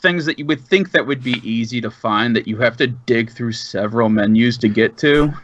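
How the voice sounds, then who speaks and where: A man's voice: mid-range voice, normal speed, highs cut off. A man co-hosting a podcast in a casual, conversational style, recorded on a close microphone. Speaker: podcast co-host. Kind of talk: casual chat.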